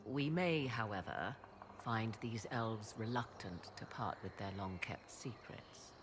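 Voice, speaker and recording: A woman playing a proud, learned witch in a fantasy game, with formal, archaic and measured speech. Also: rumbling low, noisy background. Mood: grave, intrigued. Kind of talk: dramatic fantasy game acting. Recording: music behind the voice.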